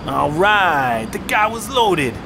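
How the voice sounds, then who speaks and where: An actor playing a cocky, scornful street mugger in an audio drama, full of slangy dismissal. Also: male voice, high voice, big pitch swings, slow, music in the background, exaggerated voice. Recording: clear street ambience noise behind.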